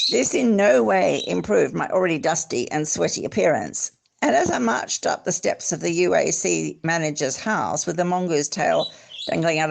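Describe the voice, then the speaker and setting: An amateur reader narrating a literary memoir expressively, with theatrical, deliberate phrasing. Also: mid-range voice, vocal fry. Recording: faint background noise, clicks.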